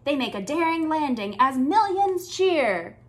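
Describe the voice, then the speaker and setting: A woman in her thirties reads a picture book to small children. Her voice sits high and swings widely in pitch. She takes it slowly, phrasing each line deliberately and expressively for a young audience. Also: sing-song. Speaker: children's storytime reader. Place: small indoor room with bookshelves.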